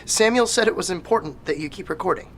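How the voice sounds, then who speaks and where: An actor playing a guarded, suspicious resident of an eerie building, with halting, repetitive speech in an audio drama. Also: male voice, high voice, lively intonation, a bit bright. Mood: guarded, uneasy. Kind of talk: tense audio drama dialogue. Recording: faintly noisy field tape.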